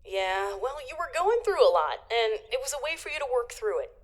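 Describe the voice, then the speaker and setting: A woman's voice: a little high, very animated, a little fast. A woman playing an easygoing, supportive best friend in an audio drama, with a casual, reassuring way of talking.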